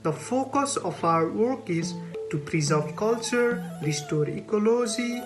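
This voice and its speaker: Measured woman narrating over plucked string music. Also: mid-range voice, animated. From Nepal. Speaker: community organisation co-founder. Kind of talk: scripted presentation in a recorded video.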